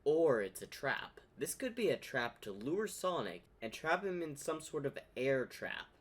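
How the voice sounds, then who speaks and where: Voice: mid-range voice, sing-song, a little slow, very noisy. A reaction host commenting on a comic dub, thinking aloud and repeating phrases.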